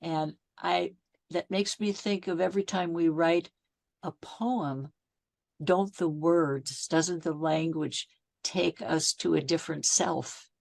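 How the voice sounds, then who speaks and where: A woman's voice: on the low side, fairly even intonation, takes it slowly, vocal fry, dry recording. A woman from the audience joining a video call, earnest and reflective, recalling a quote. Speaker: audience member. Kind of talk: casual conversation.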